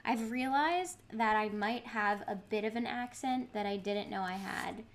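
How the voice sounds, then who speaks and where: A woman's voice: medium pitch, a little slow, slight background noise. A woman with a Boston accent, hosting a podcast in casual, bubbly chat and laughing often. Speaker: podcast host.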